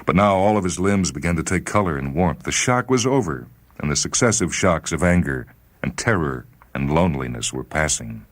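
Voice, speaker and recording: A man reading a short story as dramatic literary narration, measured and solemn. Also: extremely low pitch, animated, no echo.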